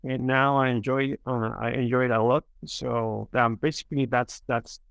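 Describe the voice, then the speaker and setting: A young man with a Mexican Spanish accent, talking English in an eager, halting way, with lots of fillers and restarts. His voice is smooth and resonant. The sound is dry and close, with no room around it. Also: medium pitch, steady tone, a bit bright, dry recording. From Mexico City. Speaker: filmmaker guest. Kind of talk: podcast interview.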